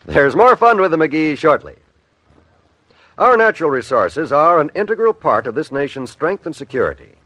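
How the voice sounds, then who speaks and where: A man's voice: a little high, very animated, moderate pace, pushed and bright, slight background noise. A man announcing on old-time radio, reading a scripted public service message in an earnest, formal, emphatic way.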